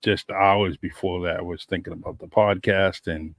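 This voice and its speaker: A man hosting his own podcast in a loose, rambling way, doubling up words as he goes. His voice sits very low, and the recording is dry and close, with no room sound. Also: fairly even intonation, normal speed, no echo. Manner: warm, rambling, repeats words, many "um"s. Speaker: podcast host. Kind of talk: casual interview chat.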